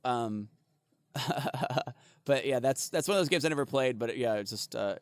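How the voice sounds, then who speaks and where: A man's voice: mid-range voice, measured pace, no room sound. A man co-hosting a podcast in casual comedic chat, rambling and profane with lots of fillers and laughter. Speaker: podcast co-host.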